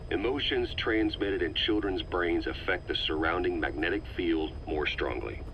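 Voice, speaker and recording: An actor playing a calm, middle-aged male agent who methodically explains a conspiracy to the listener on a cassette tape. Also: low-pitched, a little hiss or hum. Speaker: intelligence briefer on a cassette tape. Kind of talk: scripted game voice acting. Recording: slightly muffled cassette tape recording.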